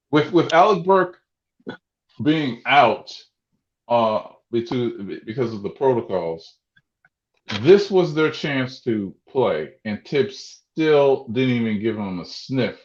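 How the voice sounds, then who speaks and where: A man hosting a sports talk show, rambling and interrupting himself with many restarts. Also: medium pitch. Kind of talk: casual sports radio banter. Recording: echoey room, dull muffled sound.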